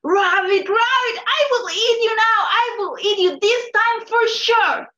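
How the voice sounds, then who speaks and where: A woman's voice: high-pitched, takes it slowly, lo-fi sound. A woman doing a whiny, greedy coyote. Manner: whiny, pleading and shouting. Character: hungry, gullible male coyote.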